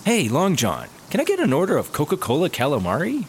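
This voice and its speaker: A cocky, laid-back slacker cop played by a man talks with a lively, swinging delivery, breezy and ready to argue. The audio drama has faint noise behind him. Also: a little high, fast talker. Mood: mischievous. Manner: breezy, argumentative. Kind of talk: sci-fi comedy audio drama acting.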